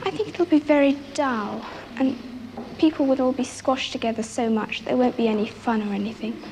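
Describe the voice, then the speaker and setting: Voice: mid-range voice, moderate pace. A young girl giving casual answers in an interview. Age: child or teenager. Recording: faintly noisy 1960s archival interview.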